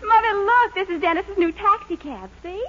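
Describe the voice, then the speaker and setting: An actress playing a sweet, supportive, gently worried young woman in a 1940s radio sitcom. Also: extremely high pitch, normal speed. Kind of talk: 1940s radio situation comedy acting. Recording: noisy, dull radio recording, loud audience.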